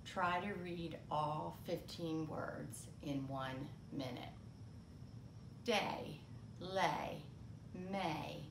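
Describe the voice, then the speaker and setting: A middle-aged woman presents a children's storytime. She speaks slowly and in a measured way, setting her words clearly apart as she gives reading instructions to children and parents. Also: deep voice, reverberant, background noise. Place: small indoor room, seated at a table.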